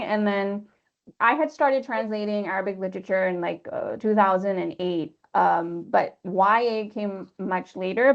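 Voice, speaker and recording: American-accented woman on dull call audio. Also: mid-range voice. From Pakistan. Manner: conversational, frequent asides. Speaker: translator. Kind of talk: panel discussion answer. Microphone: video call.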